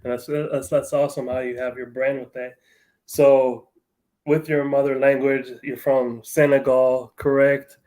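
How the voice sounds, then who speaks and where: A man's voice: mid-range voice, flat delivery, measured pace, darkish tone, poor audio. A man hosting a casual interview, asking questions in a friendly, encouraging manner. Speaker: host.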